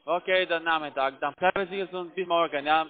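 A man with a foreign accent, not a native speaker, giving halting step-by-step instructions as a call center agent. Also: on the high side, steady tone. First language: not German. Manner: halting, broken grammar. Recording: tinny narrow phone line.

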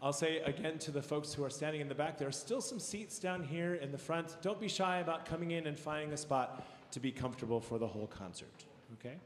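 A man's voice: fairly high pitch, a little fast, few pauses. Middle-aged male choir director with a cold, informal and encouraging, handheld mic in a big reverberant hall, faint room noise. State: has a cold, voice a little worn. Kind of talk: concert announcements to an audience. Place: large recital hall stage. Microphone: handheld mic heard from a far camera. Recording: echoey hall from far off.

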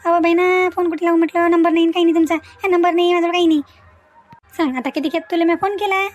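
A woman plays a chatty village matchmaker aunt in a comedy cartoon. Her voice is high and quick. She pushes her point with homely, repetitive insistence. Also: steady tone, faint music behind. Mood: flustered explaining. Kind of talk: Marathi comedy cartoon dialogue.

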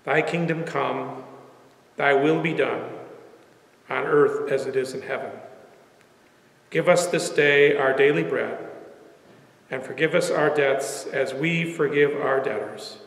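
A man in his sixties speaking as a pastor leading worship, formal and measured, in a large reverberant sanctuary. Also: medium pitch, fairly bright tone. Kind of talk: church prayer and sermon. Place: large empty church sanctuary, indoors. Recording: echoey church sanctuary, long reverb.

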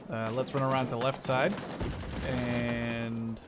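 A man's voice: medium pitch, little pitch movement, slow, heavy background noise. A young man giving casual gameplay commentary, swearing often and breaking into alarmed exclamations. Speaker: gaming channel host. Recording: gunfire and explosions behind. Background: loud game gunfire and artillery.

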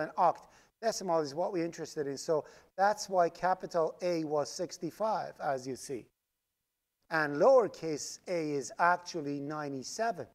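A man's voice: high-pitched, darkish tone. A man whose first language is Farsi leads a live coding lecture, talking through each step as he types. He keeps checking in with the class and explains in a patient, step-by-step way, recorded over his screen capture. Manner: explains step by step while typing code, asks "right?" often. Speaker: programming instructor. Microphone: screen recording with voice-over mic.

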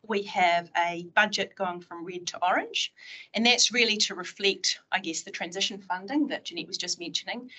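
A New Zealand woman briefs a council on project updates. Her voice is bright and clear, and her delivery is steady with a few fillers. She sounds like she is in a large meeting room, picked up by table mics. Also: medium pitch, poor audio. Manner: opens with a Māori greeting, steady presenting with fillers.

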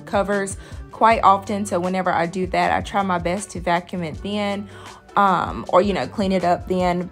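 A woman vlogger giving a casual, conversational voice-over. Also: lowish voice. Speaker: vlogger, host of a cleaning channel. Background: music.

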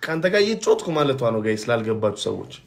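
A young Ethiopian man in his twenties, a native Amharic speaker, bantering loudly in broken English with many hesitations during a livestream battle, recorded on a phone in a small indoor room. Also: medium pitch, big pitch swings, very fast, gravelly. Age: 20s. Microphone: phone selfie camera.

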